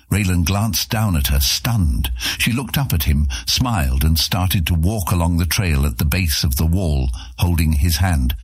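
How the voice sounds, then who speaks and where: Very deep, husky male narrator. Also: dry recording, studio quality. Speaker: audiobook narrator. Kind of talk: scripted fantasy narration.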